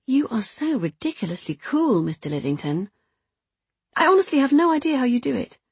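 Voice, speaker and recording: A woman's voice: high-pitched, sing-song, a little fast, vocal fry, a little mellow, breathy edge, dry recording, voice pushed up, full character voice. A woman voicing a flustered, guilty young woman in her twenties in an audiobook story, breathless and anxious. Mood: incredulous, admiring. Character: guilty, flustered 26-year-old woman having a secret affair.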